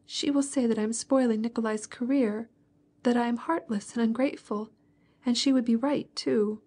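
A heartbroken young girl, tearful and anxious, as a woman reader puts her on. Her voice sits high and breathy, often sinking to a trembling whisper. The sound is soft and husky rather than crisp. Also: steady tone, unhurried.

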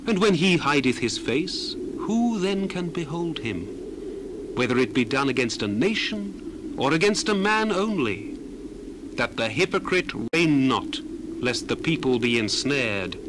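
A man's voice: mid-range voice, background noise. A man playing an earnest, admonishing biblical orator in a solemn, declamatory dramatized scripture reading. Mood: solemn, declaiming. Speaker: dramatized Bible reader.

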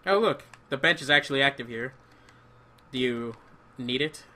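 A man's voice: mid-range voice, moderate pace, sharp tone. A man chatting casually and jokingly as he plays a co-op video game. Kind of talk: casual co-op gameplay chat.

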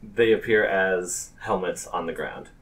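A man narrating a tabletop game as game master, with halting, deliberate phrasing. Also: deep voice, fairly bright tone, distant mic, low-quality recording.

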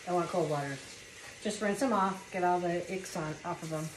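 A woman's voice: fairly low pitch, a little fast. A woman filming on her phone in a home kitchen, patiently explaining cooking steps to a child. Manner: patient, coaching and explaining step by step. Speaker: family member filming and guiding a child cook. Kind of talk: casual instructional home cooking commentary. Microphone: phone camera held by the speaker off screen. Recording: echoey kitchen with clear background noise.

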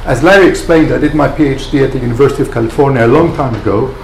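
A man in his sixties from Greece giving an academic lecture in a reflective, hesitant way with frequent pauses. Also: mid-range voice, gravelly. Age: 60s. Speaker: guest lecturer, professor. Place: wood-panelled seminar room, indoors. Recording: echoey room, audible background noise.

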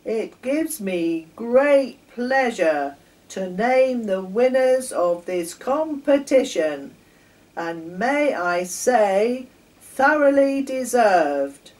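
Woman putting on a loud, ceremonious rabbit chairman. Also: on the high side, expressive. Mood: ceremonious, proud. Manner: ceremonious, drawn-out announcing. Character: formal male rabbit, chairman of judges. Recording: distant camera mic, faint noise.

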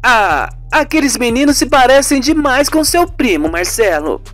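A man's voice: very high-pitched, very animated, moderate pace, fairly bright tone, faint music behind, voice pushed up, full character voice. A man voicing a gossipy, suspicious wife in a radio serial, with an emphatic, colloquial way of talking. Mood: insistent.

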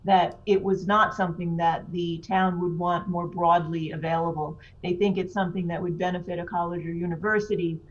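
A woman on a video call calmly explaining procedure to a planning board at a public meeting. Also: on the low side. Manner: measured, explanatory. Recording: dull lo-fi video call audio.

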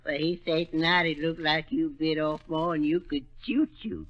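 Man doing a high, folksy comic sidekick. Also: flat delivery, normal speed, natural voice. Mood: deferential, knowing. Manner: folksy dialect grammar, deferential. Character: deferential, drily knowing comic male sidekick. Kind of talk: 1930s radio comedy acting. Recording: dull vintage 1930s radio recording.

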